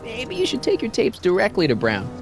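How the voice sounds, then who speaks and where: Teenage boy, American accent, earnest, incredulous, casual, animated intonation, video game dialogue, music behind. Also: sits high, brisk. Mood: earnest, probing.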